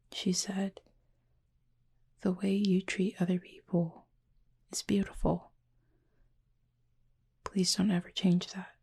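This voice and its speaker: Casting: gentle Cupid roommate, played by a woman, ASMR roleplay; husky, breathy, evenly pitched, measured and quietly affectionate. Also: heavily acted. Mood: tender, wistful. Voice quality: soft, breathy, husky, often near-whisper. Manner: slow, measured, formal storytelling with soft pauses. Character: gentle adult Cupid roommate, wistful, affectionate, softly teasing. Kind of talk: intimate ASMR audio roleplay.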